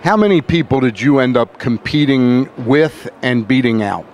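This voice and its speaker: A man's voice: mid-range voice, expressive, moderate pace, darkish tone, slight background noise. A man hosting a sports show, interviewing a guest on location at a ballpark. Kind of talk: sports interview and sponsor reads.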